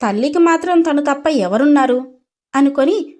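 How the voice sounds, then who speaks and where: A woman's voice: high-pitched, lively intonation, normal speed, mellow. A woman storyteller reading a scripted story narration.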